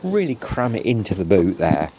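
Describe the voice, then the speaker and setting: A man's voice: low-pitched, big pitch swings, normal speed, background noise. A middle-aged British man with a stuffed-up nose from hay fever, giving a rambling casual how-to talk with many "um"s, recorded outdoors. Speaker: vlogger.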